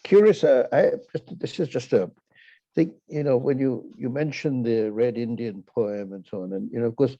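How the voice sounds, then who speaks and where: Halting man with a gravelly voice. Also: medium pitch, mellow, lo-fi sound. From United States. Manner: hesitant, halting, many restarts. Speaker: co-host. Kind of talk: casual chat with a guest.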